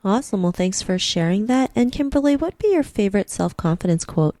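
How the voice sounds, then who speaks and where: A woman's voice: mid-range voice, animated, brisk, warm tone, no echo, clean studio sound. A woman hosting an interview podcast, upbeat and chatty, often saying "you know" and "right". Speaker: podcast host. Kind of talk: casual interview chat.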